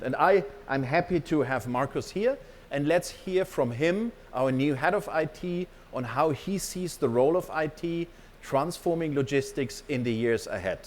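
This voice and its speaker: A man's voice: medium pitch, slight background noise. A man giving a company presentation to staff as an executive, partly off the cuff, emphatic and often checking in with his listeners.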